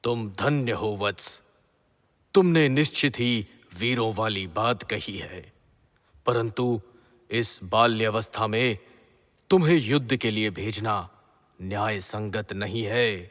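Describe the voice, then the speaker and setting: A man acting a grave, righteous middle-aged king and father in a mythological audio drama, speaking in formal, measured pronouncements. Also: lowish voice, a bit bright. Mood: tender, grave. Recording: long hall-like reverb.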